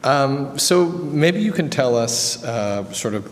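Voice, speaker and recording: A man's voice: medium pitch, lively intonation, slow pace, in a large hall. A man hosting an interview, asking polite, encouraging questions. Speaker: interview host.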